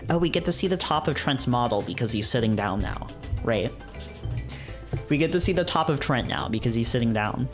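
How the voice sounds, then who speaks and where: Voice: medium pitch, lively intonation, fairly quick, soft background music. A streamer who reads visual novels aloud and chats casually, often repeating phrases when reacting. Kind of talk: livestream read-aloud with casual chat.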